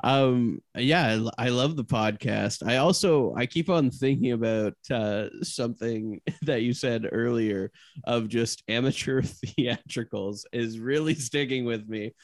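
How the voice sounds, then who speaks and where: Slow-talking laughing man, dry sound. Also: mid-range voice, no echo. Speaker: podcast co-host. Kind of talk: casual chat.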